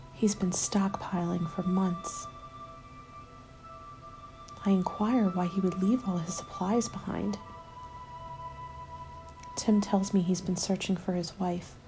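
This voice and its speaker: A woman playing a weary, guarded survivor of a zombie apocalypse, narrating a diary in a hushed, breathy, measured ASMR style. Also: mid-range voice, low-quality recording. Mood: guarded, reflective. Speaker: narrator of an audio roleplay. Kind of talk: ASMR scripted first-person diary narration. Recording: music laid under the voice.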